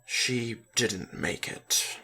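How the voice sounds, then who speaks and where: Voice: mid-range voice, animated, very slow, creaky, pushed and bright, slightly rough, exaggerated voice. A reader voicing a female hospital nurse, patient and hesitant, explaining carefully in a dramatic story reading. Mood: somber.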